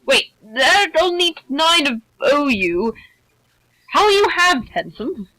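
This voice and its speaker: A woman with a high, animated voice joins a casual read-aloud as guest. She is questioning and playfully pedantic, recorded close and dry. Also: slow pace, no echo, low-quality recording. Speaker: guest reader.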